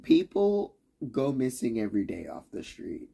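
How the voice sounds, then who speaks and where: Man streamer, fried low rasp, mellow dark tone, swearing and rambling, keeps cutting himself off and needling the audience. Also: huge pitch swings, normal speed. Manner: profane, rambling, restarts sentences often; conspiratorial, challenging the listener. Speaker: livestreamer. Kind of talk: rambling livestream rant.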